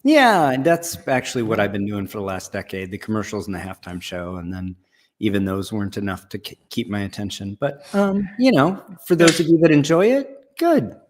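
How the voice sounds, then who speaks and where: A man hosting a live online show about comic conservation, talking in a relaxed, rambling, self-deprecating way. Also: on the low side, fairly quick. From Texas. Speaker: host. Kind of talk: casual live show chat.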